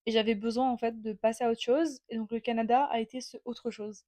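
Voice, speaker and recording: A woman in her twenties with an accent from France, talking candidly into a small clip-on mic and often pausing to hesitate. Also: a little high, level tone, warm tone, no echo. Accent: French from France. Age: 20s. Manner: reflective, candid, many hesitations. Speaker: vlogger. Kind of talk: casual personal monologue.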